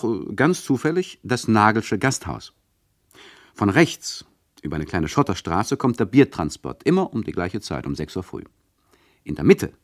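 A deep-voiced male radio play narrator, mock-solemn and theatrical. His pitch swoops widely as he chummily addresses the listeners in a dry studio. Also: no echo.

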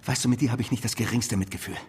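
A man's voice: medium pitch, steady tone, rapid-fire, lightly acted. An actor playing a cold, mocking, manipulative male stranger in a German radio drama, taunting and pressing. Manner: taunting, interrogating, swings between hushed and explosive.

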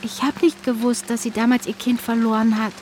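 A woman's voice: a little high, flat delivery, a little fast, a little mellow, a little hiss or hum, close to an everyday voice. An actress playing a young woman, a long-lost sister turned up as a stranger, hesitant and intense, in naturalistic radio drama style. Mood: confessing, pained. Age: young adult. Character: long-lost abducted younger sister posing as drenched lost hiker.

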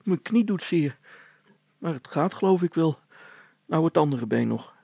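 Male reader as storybook boy, hesitant, plaintive, raised pitch, husky. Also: expressive, unhurried, dark tone, no room sound, full character voice. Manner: hesitant, groaning. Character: Hannes, plaintive, dependent young son, a boy.

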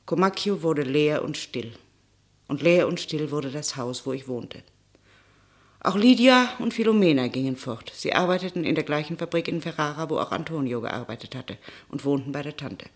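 An actress playing a weary, sorrowful working-class mother who also narrates plainly in a classic radio drama. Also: sits low.

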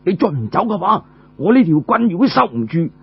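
An eager young warrior played by a male storyteller pleads and exclaims in a highish, animated voice. He stammers in his urgency. The storybook recording is lo-fi and hummy. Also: a little fast, gravelly, slightly rough, no echo, exaggerated voice. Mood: theatrical urgency.

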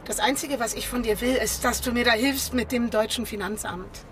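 Woman doing a blunt stubborn carpenter gal, higher voice, faint car hum behind. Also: fairly even intonation, normal speed, lightly acted. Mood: brusque. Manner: brusque, casual slang. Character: stubborn blunt female carpenter, young adult.

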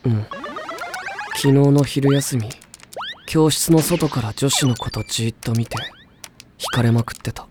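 Brief: male actor as exasperated high-school boy, mid-pitched, blunt casual sarcasm, anime drama over music. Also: measured pace, pauses often, close to an everyday voice. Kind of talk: Japanese anime audio drama acting. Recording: music behind the voice.

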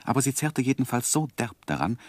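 An actor playing a composed first-person narrator in a literary radio drama, measured and articulate. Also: male voice, mid-range voice, sing-song, a little mellow, no echo, studio quality. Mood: wry storytelling. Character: composed male narrator recounting his own life story.

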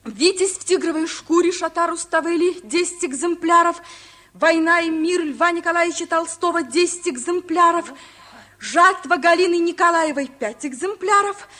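A flighty young village girl with a very high voice. She chatters breezily and theatrically, in the manner of old radio comedy. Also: normal speed, bright, forceful tone. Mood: confident dictating. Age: young adult. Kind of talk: 1950s Soviet radio comedy acting.

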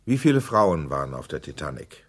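A man's voice: rumbling low, very animated, fairly quick, full character voice. An actor playing a persistent male senator who formally questions witnesses in a German documentary radio drama. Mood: probing, formal. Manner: probing, insistent, formal. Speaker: investigating questioner.

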